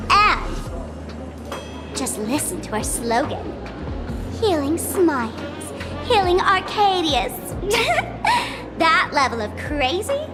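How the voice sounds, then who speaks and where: Mischievous girlish trickster, high, very animated, unhurried, theatrical, teasing, game character. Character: mischievous theatrical young female trickster prankster. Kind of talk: animated anime-style game voice acting.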